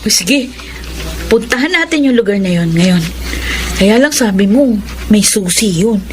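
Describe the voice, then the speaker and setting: A woman's voice: medium pitch, expressive, measured pace, a little hiss or hum, natural voice. An actress playing a friendly young woman among her friends in a radio drama. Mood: puzzled.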